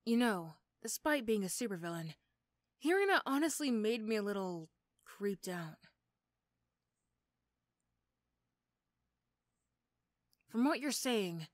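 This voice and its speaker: A woman's voice: mid-range voice, dry recording, natural voice. A woman playing a mean but grudgingly sympathetic supervillain in an ASMR roleplay, with a dry, teasing, condescending manner. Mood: wry. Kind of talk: ASMR roleplay character acting.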